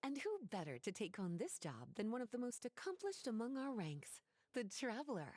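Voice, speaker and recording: An actress playing a poised, teasing, scholarly sorceress in a video game, coy and polished. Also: female voice, on the high side, very animated, normal speed, a little mellow, a little hiss or hum. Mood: coy, confident. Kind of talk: video game character acting. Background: game music.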